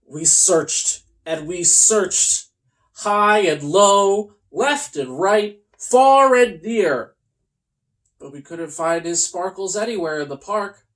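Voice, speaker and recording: A host reading a comic children's storybook aloud in a theatrical, mock-earnest way with deliberate pauses. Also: medium pitch, lively intonation, fairly bright tone.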